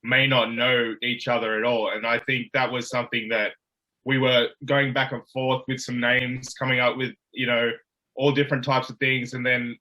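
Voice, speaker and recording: An Australian man, a rapper guest on a video call, explaining in a relaxed way. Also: mid-range voice, normal speed, rough recording.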